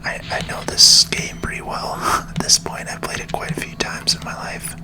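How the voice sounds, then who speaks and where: A person whispering calm ASMR commentary over a video game, close to the microphone. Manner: slow, calming.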